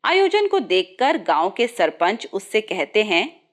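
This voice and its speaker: A woman's voice: medium pitch, sing-song, a little slow. A woman reading a scripted moral story as its narrator.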